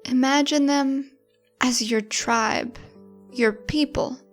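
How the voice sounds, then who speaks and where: A woman narrating a guided meditation in a gentle, encouraging, informal manner. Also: sits high, fairly even intonation, takes it slowly. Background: music.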